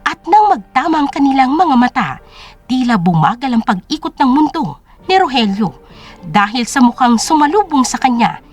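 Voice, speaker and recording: A woman's voice: high voice, sing-song, normal speed, faint music behind. A woman reading scripted dramatic narration as the storyteller of an audio drama.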